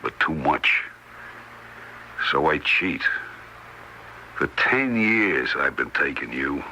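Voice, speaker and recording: Gruff needling card cheat in an old radio play, really animated, sharp voice, bit of noise. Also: male voice, very deep voice, slow, full character voice. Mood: subdued, confessional. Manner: streetwise, colloquial banter. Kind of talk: radio drama acting. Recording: noisy old radio drama recording.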